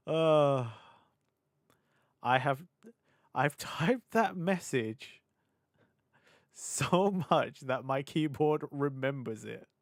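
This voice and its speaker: A young British man streaming live, rambling and laughing as he chats with his audience, his voice a little hoarse from hours of talking. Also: fairly high pitch, expressive, no echo, studio quality. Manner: chatty, scattered, quick to laugh. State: slightly hoarse. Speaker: VTuber streamer. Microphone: desk mic on a boom arm.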